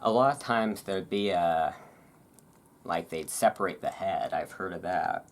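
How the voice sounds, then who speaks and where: Low voice doing a laid-back read aloud, kinda halting, rambly and self-deprecating. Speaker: reader and host. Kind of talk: relaxed reading aloud with casual rambling asides. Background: thunderstorm ambience.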